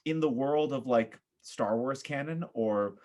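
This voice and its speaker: A man's voice: medium pitch, unhurried, a little mellow. A man speaking as a podcast guest in casual, reflective conversation, thoughtful and often groping for his words.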